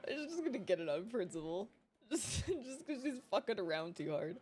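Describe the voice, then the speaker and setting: A woman's voice: mid-range voice, sing-song, normal speed, a little mellow, husky. A woman streamer whose first language is not English, chatting casually with her viewers, swearing freely and laughing often.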